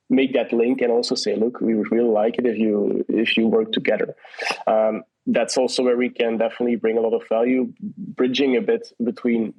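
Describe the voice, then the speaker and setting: A man talks through his answers in a casual online chat. His pitch barely moves, and he stops and restarts often. The audio sounds muffled and lo-fi, like a voice call. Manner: hesitant, many restarts and fillers. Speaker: guest from a partner project.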